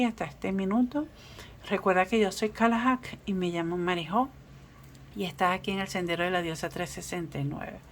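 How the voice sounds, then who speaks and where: A woman's voice: lowish voice, a little hiss or hum. A Venezuelan woman with a Venezuelan Spanish accent, giving a casual, reassuring tarot reading and often restarting her sentences with fillers. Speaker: tarot reader.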